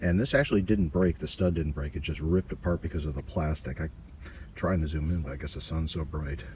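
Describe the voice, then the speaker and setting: A man giving a casual hands-on repair walkthrough in a halting, folksy, offhand way, recorded outdoors on amateur gear. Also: a bass voice, noisy background. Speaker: host of a DIY radio repair channel. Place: outdoors beside a car.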